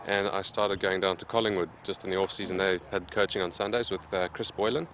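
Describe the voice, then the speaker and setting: A man in his late twenties, answering interview questions in a plain, steady way with many pauses. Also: low-pitched, lo-fi sound. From Zimbabwe. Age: late 20s. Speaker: interviewed athlete.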